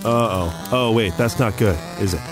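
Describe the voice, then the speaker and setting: A man's voice: on the low side, moderate pace, music in the background, lightly acted. A man playing a nervous, clueless young gamer in an audio drama, hesitant and stammering. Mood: worried. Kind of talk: naturalistic audio drama acting.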